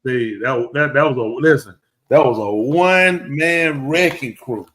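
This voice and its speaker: This is a man, talking casually on a live show. His voice sits at a middle pitch, and he looks back on old stories with loud, animated exclamations. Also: a little slow. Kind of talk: casual chat.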